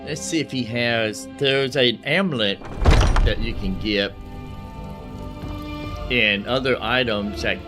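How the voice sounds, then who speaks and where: A man's voice: mid-range voice, takes it slowly, pauses often. A man hosting a gaming video, giving casual, rambling commentary as he thinks aloud while playing. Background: game music.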